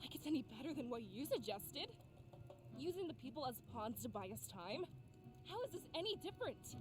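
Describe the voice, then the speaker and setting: A woman's voice: high voice, very animated, fairly quick, music in the background. Firm, pragmatic fantasy heroine voiced by a woman. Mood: indignant. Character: pragmatic, firm female fantasy companion, argumentative. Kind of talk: visual novel character acting. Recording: loud background noise and ambience.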